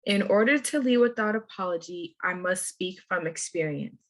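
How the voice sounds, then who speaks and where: Relaxed teenage girl from Minnesota. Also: lowish voice, normal speed. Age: teens. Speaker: youth leader presenter. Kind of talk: scripted youth talk.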